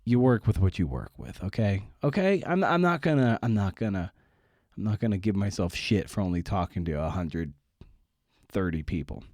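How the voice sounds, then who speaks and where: A man's voice: lowish voice, expressive, normal speed, a little mellow, no echo, clean studio sound. A man hosting a podcast, talking informally in a candid, self-deprecating way. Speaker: podcast host.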